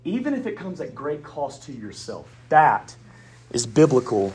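A man preaching a sermon in a Southern US accent, with emphatic declarations, quiet asides and folksy humor. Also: mid-range voice, very animated, unhurried, slightly rough. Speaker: pastor.

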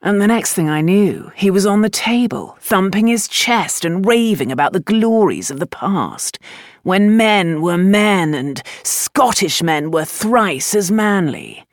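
Languid idle nobleman, voiced by a female narrator, storybook, wry and unhurried, close dry recording. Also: medium pitch, animated, dry recording, close to an everyday voice. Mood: droll. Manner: droll, unhurried, faintly amused. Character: dry, languid, teasing aristocratic man, penniless idler.

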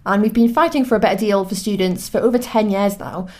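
A woman's voice: mid-range voice, fast, darkish tone. A woman in her twenties with a Newcastle accent, co-hosting a podcast in an upbeat, chatty way. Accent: Newcastle (Geordie). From Newcastle, England. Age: 20s. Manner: chatty, upbeat, frequent fillers. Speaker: podcast co-host. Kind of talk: casual podcast chat.

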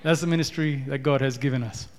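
A man's voice: mid-range voice, fairly even intonation, quick pace. A man from Ethiopia preaching a sermon, repeating words and building in rising declarations. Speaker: pastor. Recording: long hall reverb.

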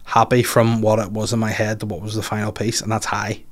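A man's voice: lowish voice, little pitch movement, brisk. A young man, a comedian co-hosting a podcast, chatting casually with lots of restarts, laughter and swearing. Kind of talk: casual chat.

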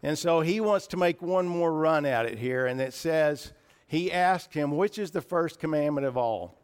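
A man with a raspy voice preaching a sermon in church, earnest and a little emotional, often clearing his throat. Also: a little high, normal speed.

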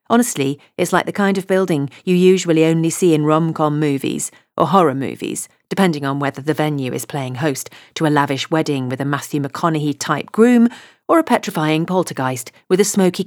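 A woman's voice: low-pitched, normal speed, darkish tone, no room sound, clean studio sound. A woman reading a comic novel aloud in first person, wry and chatty. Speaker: audiobook narrator.

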